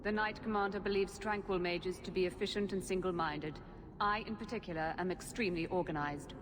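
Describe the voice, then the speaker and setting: An actress playing an emotionless, efficient mage assistant in a video game, formal and precise. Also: female voice, fairly low pitch, fairly quick, lightly acted. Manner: flat affect, formal, precise. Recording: long hall reverb, clear background noise.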